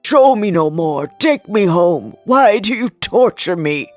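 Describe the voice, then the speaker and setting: A woman's voice: lowish voice, expressive, slow, soft background music. A woman voicing an agitated old male miser, distressed and demanding, in a storybook reading. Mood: anguished pleading.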